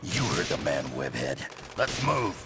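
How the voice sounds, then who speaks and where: An actor playing a surly, tough little superhero brawler in a video game, with a gravelly growl and a sardonic manner. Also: male voice, a bass voice, big pitch swings, measured pace, heavily acted. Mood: gruff. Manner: gruff, sardonic. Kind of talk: video game cutscene acting. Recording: loud music under the dialogue.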